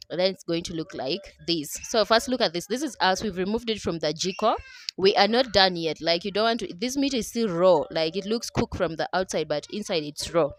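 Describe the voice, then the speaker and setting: A woman's voice: mid-range voice, a little hiss or hum. A young Kenyan woman whose first language is Dholuo, talking casually with a Kenyan accent as she narrates a cooking vlog over her own footage and keeps checking in with "okay?". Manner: chatty, laughing, keeps asking "okay?". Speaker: cooking vlogger. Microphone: voice-over mic.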